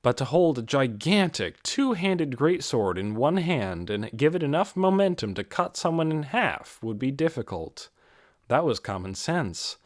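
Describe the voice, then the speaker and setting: A man's voice: on the high side, dry recording, studio quality. A man reading a fantasy audiobook as dramatic scripted narration.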